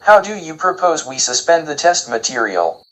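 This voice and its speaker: A man's voice: fairly high pitch, big pitch swings, moderate pace, a bit bright, low-quality recording. A man playing a formal, literal-minded robot in an audio drama, polite and cautious, with precise, stilted wording. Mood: measured disapproval. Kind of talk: scripted audio drama acting.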